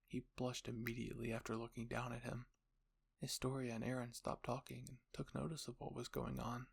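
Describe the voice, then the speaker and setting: Gravelly male story narrator. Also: mid-range voice, little pitch movement, brisk. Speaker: narrator and channel host. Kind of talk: scripted fan fiction narration.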